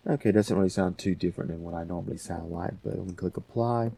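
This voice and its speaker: Male tutorial host, low-pitched and dark in tone, casual and rambling, explaining each step. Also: quick pace, gravelly, breathy edge, no echo. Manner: rambling, thinking aloud. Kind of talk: casual software tutorial, talking through steps.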